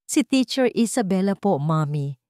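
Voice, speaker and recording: A woman's voice: mid-range voice, expressive, normal speed, warm tone, no room sound, studio quality, natural voice. A woman voicing an innocent, trusting little boy in a Filipino-accented audio story. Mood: happy, innocent. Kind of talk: dramatic audio story acting.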